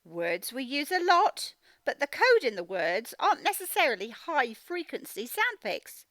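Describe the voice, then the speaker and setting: A woman teaching a children's reading lesson, expressively reading aloud and sounding out words slowly. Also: high-pitched, big pitch swings, a bit bright, dry recording. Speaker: phonics teacher.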